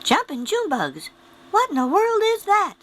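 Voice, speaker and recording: A man voicing an eager young boy adventurer in a bright, boyish voice. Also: very high voice, huge pitch swings, measured pace, a loud crowd, voice pushed up, exaggerated voice. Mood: surprised.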